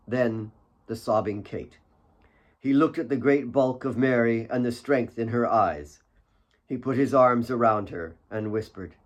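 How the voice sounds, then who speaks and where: A man reading his own novel as a dramatic audiobook narrator, in a measured way with pauses between phrases. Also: mid-range voice.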